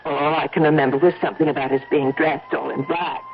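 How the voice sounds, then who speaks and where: An actress playing a frightened, shaken wife who insists on what she saw, halting and emphatic, in old radio drama style. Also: deep voice, fairly even intonation, faint music behind. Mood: shaken, anxious. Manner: halting, emphatic, repeats herself. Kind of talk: 1970s-80s radio drama acting. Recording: dull lo-fi vintage radio broadcast.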